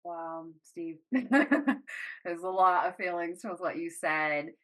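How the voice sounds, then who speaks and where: A woman's voice: fairly low pitch, slow pace, a bit bright. A woman hosting a podcast in a reflective, conversational style. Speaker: podcast host.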